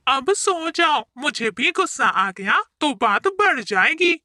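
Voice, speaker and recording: A woman's voice: sits high, very animated, takes it slowly, pushed and bright, no room sound, full character voice. A woman voicing a tired, patient male parrot husband in a cartoon, with a weary, exasperated manner. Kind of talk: cartoon voice acting.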